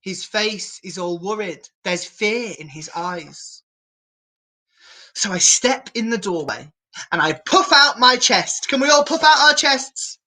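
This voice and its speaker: A woman reading a picture book aloud to children as a storyteller, in an animated, encouraging and theatrical manner. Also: mid-range voice, slow pace, rough recording.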